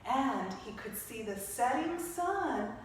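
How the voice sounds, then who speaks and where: In a roomy, echoing space with faint noise, a woman tells a children's story. Her voice sits fairly high and she takes her time, expressively inviting listeners to move along with the tale. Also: expressive, takes it slowly. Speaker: storyteller, author reciting own story. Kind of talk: children's storytime with movement cues.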